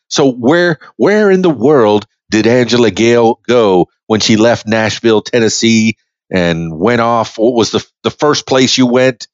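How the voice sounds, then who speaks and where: A man's voice: mid-range voice, dry recording, lo-fi sound. A man in his fifties hosting a podcast interview, rambling with many restarts and easy laughs. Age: 50s. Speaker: podcast host. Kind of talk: casual interview chat.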